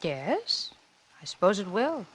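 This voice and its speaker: An actress playing a cunning, coolly blackmailing wife in a 1940s radio suspense drama, with a coy, teasing, insinuating manner. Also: female voice, medium pitch, big pitch swings, slow, noisy background. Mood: coolly calculating. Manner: coy, teasing, insinuating drawl with theatrical swoops.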